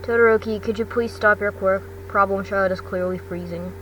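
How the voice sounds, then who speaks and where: A woman playing a stern, dry male schoolteacher in a story reading, giving measured, polite orders. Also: medium pitch, fairly even intonation, distant mic, slight background noise, lightly acted, rough recording. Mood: concerned, firm.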